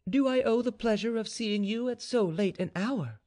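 A composed young noblewoman, put on by a male narrator, high in pitch and gently lilting. She is soft, formal and dutiful, a reserved daughter in an old tale who never sounds ruffled. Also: animated, moderate pace.

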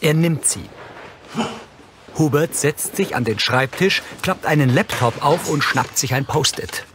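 A man's voice: fairly high pitch, measured pace, background noise. A man narrating scripted scene descriptions in a neutral, matter-of-fact way as an audio describer.

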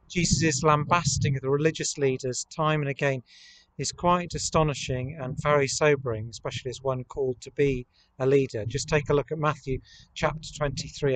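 A man, preaching a measured, earnest, reflective sermon to camera as a priest. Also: a little high, rough recording. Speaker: priest leading online worship. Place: outdoors in a rural churchyard. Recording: outdoor camera recording with ambient noise.

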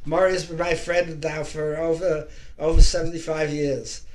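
A man in his eighties hosting a casual video call, chatty and quick to laugh. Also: fairly high pitch, flat delivery, a little mellow, a little hiss or hum. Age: 80s. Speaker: host of online music club. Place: home room.

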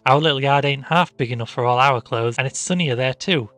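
A man voicing a peremptory, indignant middle-aged housewife in a storybook reading, sharp, commanding and scolding. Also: mid-range voice, fairly quick, no echo, faint music behind, lightly acted. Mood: matter-of-fact.

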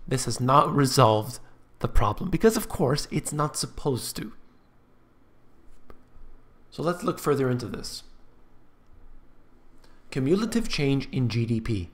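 A man's voice: medium pitch, sing-song, measured pace, long pauses. Scornful man talking over charts. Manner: emphatic, scornful, repetitive. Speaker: finance commentator. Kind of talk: screen-share commentary on charts. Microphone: voice-over on screen recording.

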